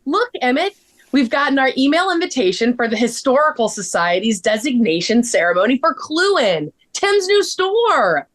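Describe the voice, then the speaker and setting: A bubbly young wife, acted by a woman, excitable and adoring. The voice sits fairly high and swoops widely, in the style of a comic script read. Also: moderate pace. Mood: bubbly excitement. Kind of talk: script table read acting.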